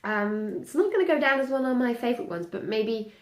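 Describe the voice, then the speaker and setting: A woman's voice: on the high side, animated, a little fast, reverberant. A young woman, a native German speaker, chatting casually through a reading recap with many ums and restarts. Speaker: book vlogger.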